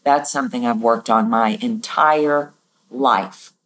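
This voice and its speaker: A woman voice coach answering listener questions on her podcast, candid and self-deprecating, jumping her voice up and down to demonstrate pitches. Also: slow pace, vocal fry, a bit bright.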